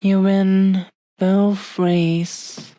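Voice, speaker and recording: A woman playing an adoring, possessive dragon-blooded fiancée in an intimate romantic roleplay audio, speaking soothingly with lingering pauses. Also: medium pitch, flat delivery, dark tone, dry recording, studio quality. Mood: coaxing, affectionate. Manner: slow, lingering, soothing, affectionate delivery with long pauses.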